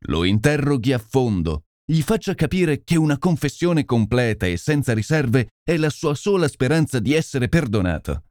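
Commanding storybook detective, lively intonation, dry close sound, as a male reader puts him on. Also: mid-range voice, normal speed, few pauses, dry recording, voice pushed up, close to an everyday voice. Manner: commanding, urgent. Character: brilliant, intense male detective, commanding and urgent.

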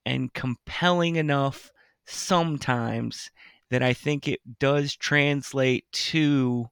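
A man's voice: mid-range voice, gravelly, fairly bright tone, smooth, no room sound, clean studio sound. A middle-aged man, a podcast co-host, chatting casually in a reflective, earnest way. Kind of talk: casual chat.

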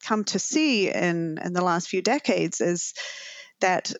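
A woman's voice: on the low side, expressive, slow pace, dry recording, dull audio. A woman with a New Zealand accent, a professor answering interview questions thoughtfully with frequent restarts. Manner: thoughtful, many restarts and fillers.

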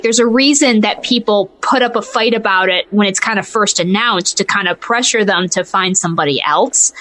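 A woman's voice: fairly high pitch, a bit bright. A woman in her twenties co-hosting a gaming talk show, chatting casually and thinking aloud in winding, hedged sentences. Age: 20s. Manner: rambling, hedging, thinks aloud. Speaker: co-host of a video game talk show. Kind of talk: casual opinionated chat.

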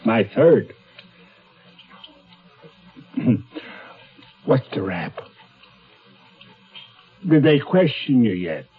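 An actor playing a sly, secretive little cellmate of about forty in a 1970s American radio drama, speaking haltingly in a husky voice. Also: male voice, medium pitch, sing-song, soft background music, exaggerated voice. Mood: sly, prying. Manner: halting, prying, slow. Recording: dull 1970s radio recording.

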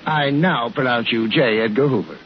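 A man's voice: medium pitch, moderate pace, close to an everyday voice. An actor playing a breezy, skeptical male tourist in a 1950s radio drama, joking in glib slang. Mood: teasing, dismissive. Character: wisecracking, breezy city tourist husband, skeptical and teasing. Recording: muffled, noisy 1950s radio recording.

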